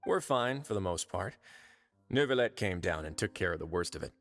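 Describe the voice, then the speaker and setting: An actor playing a suave, sardonic prison warden in a video game, speaking with wry authority. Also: male voice, low-pitched, expressive, a little fast, a bit bright, soft background music. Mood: matter-of-fact.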